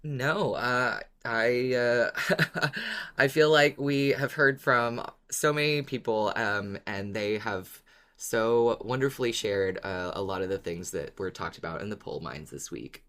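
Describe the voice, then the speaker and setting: Podcast cohost, mid-pitched voice, talks slow and kinda hesitant, casual. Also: a bit bright. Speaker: podcast co-host. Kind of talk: casual chat.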